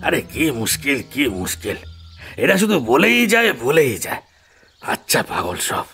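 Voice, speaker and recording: Irritable policeman: medium pitch, swooping exasperated delivery, bossy; audio drama with music. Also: wildly swooping pitch, measured pace, a bit bright, slightly rough, heavily acted.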